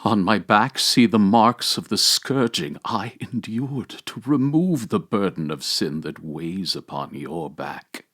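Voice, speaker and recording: A majestic male divine figure, acted by a man reading aloud, commanding and tender in a sacred dramatic reading. The voice is lowish and very slow. It declaims with solemn emphasis, rising and falling widely. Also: animated, fairly bright tone, full character voice. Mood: grave, tender. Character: Christ risen among the dead, majestic, commanding, tender.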